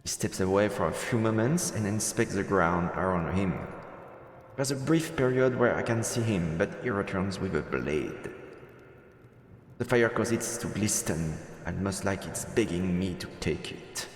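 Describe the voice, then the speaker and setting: A man's voice: low voice, measured pace, reverberant, big-room sound, soft background music. A man streaming a game, switching between let's play commentary and reading the story text aloud.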